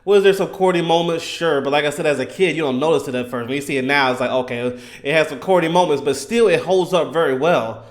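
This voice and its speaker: A man in his thirties giving casual, enthusiastic fan commentary in an animated, emphatic, slangy way. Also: fairly high pitch, fast, hardly any pauses. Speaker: gaming content creator.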